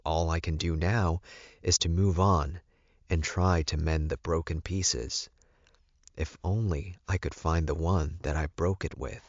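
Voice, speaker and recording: A man's voice: low-pitched, fairly even intonation, a little slow, dry recording. A man reading scripted first-person fiction as an audiobook narrator. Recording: muffled, dull, boxy recording.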